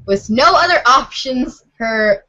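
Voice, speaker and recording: A woman doing amateur scripted narration for a readers' theater show, in a formal, slightly halting way. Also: sits high, lively intonation, pushed and bright. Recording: muffled lo-fi audio.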